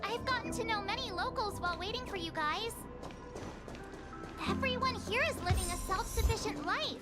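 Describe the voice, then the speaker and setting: A bubbly young girl adventurer from a game. Her voice is extremely high and swings about expressively, chatty and casual. Music plays loudly underneath. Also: lively intonation, moderate pace. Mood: chatty, perky. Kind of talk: video game voice acting. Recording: loud game music behind the voice.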